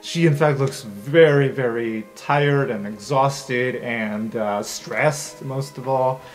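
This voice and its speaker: A man's voice: mid-range voice, slow pace. A man narrating an improvised tabletop roleplay game as game master. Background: soft music.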